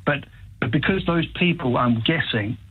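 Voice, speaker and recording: South African male caller, hesitant, husky voice over a tinny phone line. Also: on the high side, expressive, normal speed. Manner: thoughtful, hesitant, searching for words. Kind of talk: phone-in conversation. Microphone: telephone.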